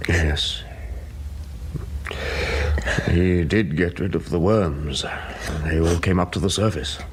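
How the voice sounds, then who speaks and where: Deep-voiced reassuring English doctor. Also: male voice, takes it slowly, a little hiss or hum. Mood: grave, consoling. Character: reassuring, gently firm English doctor and friend. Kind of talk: radio drama acting.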